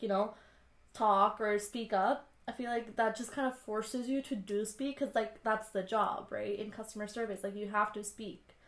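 A young woman with a slightly high voice chats casually as a podcast co-host. She shares personal stories in short, halting bursts, often hedging as she goes. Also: echoey room. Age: young adult. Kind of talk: casual chat, personal anecdotes.

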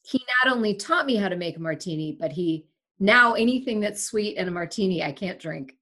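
Lively woman speaking on a remote call. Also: mid-range voice, brisk. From Sri Lanka. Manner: emphatic, candid, animated storyteller. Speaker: broadcaster and podcast host, here the guest. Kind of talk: casual interview chat.

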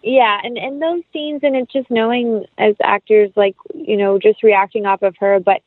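A woman speaks as an interview guest on a dull, band-limited recording with little room sound. Her pitch is mid-range. She talks in a casual, rambling, informal way. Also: fairly even intonation, moderate pace, no echo. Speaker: interview guest, film actress.

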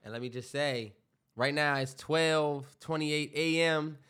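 A man co-hosting a casual late-night podcast, sounding tired from the late hour. He talks in a laid-back way and teases his co-host. His voice sits slightly high for a man. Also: measured pace, a bit bright, smooth. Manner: laid-back, teasing, joking.

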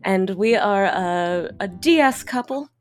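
A woman's voice: medium pitch, expressive, takes it slowly, faint music behind. A woman in her thirties with an English Canadian accent, hosting a casual podcast chat, giggling often and trailing through fillers and restarts. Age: 30s. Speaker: podcast host.

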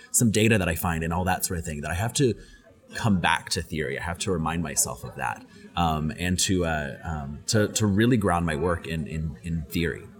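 A young adult man, a guest on a podcast, answering questions thoughtfully and often repeating words as he thinks. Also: sits low. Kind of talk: casual interview answers.

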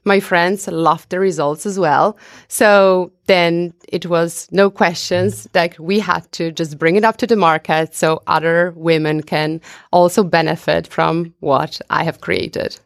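A woman answering interview questions conversationally as a guest, with halting, hesitant phrasing. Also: on the low side, steady tone, creaky, dry recording, clean studio sound. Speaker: interview guest, beauty product founder.

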